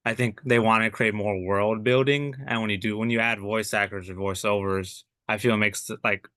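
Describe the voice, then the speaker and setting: Bright, smooth-voiced young male host. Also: lowish voice, no echo, studio quality. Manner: rambling questions with many "like" fillers. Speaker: podcast host. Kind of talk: casual interview questions.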